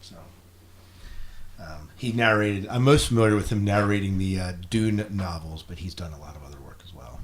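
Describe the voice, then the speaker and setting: A man's voice: deep voice, moderate pace. A man hosting a casual podcast interview, agreeable and often repeating short affirmations. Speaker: podcast host.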